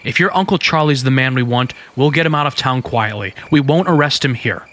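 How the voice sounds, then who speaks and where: An American actor playing an earnest young undercover detective, courteous but insistent, in a radio drama. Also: male voice, mid-range voice, a little fast, a bit bright, lightly acted. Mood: reassuring. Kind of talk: old-time radio drama acting.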